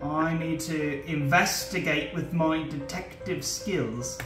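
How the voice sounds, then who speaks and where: A man with a notably high voice chats as an excitable, emotional game show host and interviewer. The room echoes around him, with light music behind. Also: slow. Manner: over-eager, emotional. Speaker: let's play host and interviewer. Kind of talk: goofy game interview chat. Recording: roomy echo, music behind.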